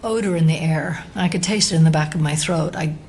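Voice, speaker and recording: An actress playing a composed, determined federal agent who sounds serious and uneasy, in an audio drama. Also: female voice, deep voice, a little fast, a little mellow, slight background noise.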